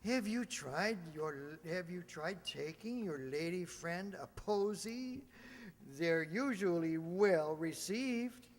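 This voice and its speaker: An actor playing a mild, henpecked small-town druggist in an old-time radio comedy, with a hesitant, deadpan, folksy delivery. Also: male voice, high voice, slow, slight background noise. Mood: mildly contrary. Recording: reverberant hall, stage re-enactment.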